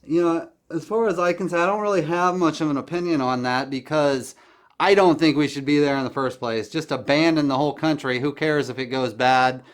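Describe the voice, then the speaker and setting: A man's voice: fairly high pitch, steady tone, a little fast. A man chatting casually about current events as a host, blunt and opinionated, in a rambling, dismissive way. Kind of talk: casual political chat over the phone.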